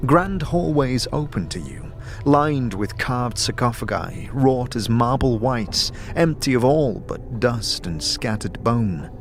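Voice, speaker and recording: A man giving measured, atmospheric second-person narration of a fantasy story as game master. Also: mid-range voice, lively intonation, faint music behind.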